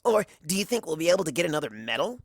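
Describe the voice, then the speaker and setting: A woman's voice: sits low, big pitch swings, rapid-fire, breathy edge, no room sound, clean studio sound, voice pushed up, heavily acted. Lady putting on brash tomboy pony, cocky n slangy, voice jumps around a lot. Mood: boastful. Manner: boastful, slangy, blunt. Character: brash, cocky young tomboy cartoon pegasus mare.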